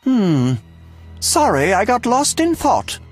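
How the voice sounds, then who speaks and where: An actor playing an eccentric, self-important alchemist in a video game, obsessive and theatrical, with fussy delivery. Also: male voice, very high voice, huge pitch swings, slow, soft background music, exaggerated voice. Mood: brisk, impatient.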